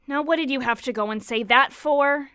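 A woman playing a caring, fretful young girl in a nostalgic period audio drama, gentle, hesitant and reassuring with a friend. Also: high-pitched, moderate pace, dry recording. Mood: concerned.

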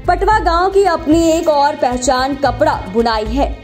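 A woman's voice: high voice, animated, a little slow, a little mellow. A woman reading a scripted news feature as a voice-over narrator. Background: background music.

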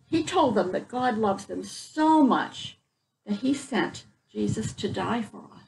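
A woman's voice: medium pitch, animated, slow pace, creaky, darkish tone, a little hiss or hum, poor audio. An older woman reading a picture book aloud to children outdoors, explaining it in simple words as she goes. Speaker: children's story reader. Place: outdoors on a front porch. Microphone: camera a few feet away.

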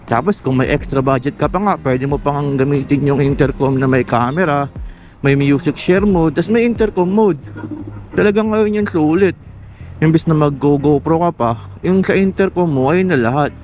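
Higher-voiced Filipino man, casual vlogging. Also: a little mellow. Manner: conversational, colloquial, addresses viewer directly. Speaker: motovlogger. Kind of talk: casual explainer vlog. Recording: muffled lo-fi mic, music underneath.

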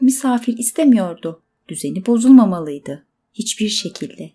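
A woman narrating a scripted children's bedtime story expressively, with dramatic pauses. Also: mid-range voice, dark tone, husky.

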